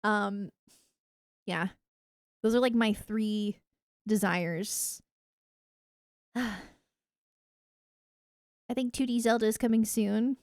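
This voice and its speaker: A woman's voice: mid-range voice, extremely slow, long pauses, darkish tone, dry recording, clean studio sound. A woman livestreamer chatting casually with her viewers in an enthusiastic, emphatic gamer way. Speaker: video game livestreamer.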